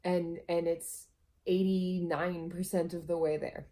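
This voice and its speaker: A woman's voice: lowish voice, flat delivery, measured pace, dark tone. A woman chatting casually in a supportive, reassuring way. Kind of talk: casual chat.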